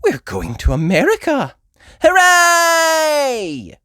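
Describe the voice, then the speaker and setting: An eager young girl pig from a children's storybook, acted out by a woman reader. The voice is high and squealing, swooping up and down with curious, cheerful excitement. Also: takes it slowly, no room sound, clean studio sound, full character voice. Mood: thrilled.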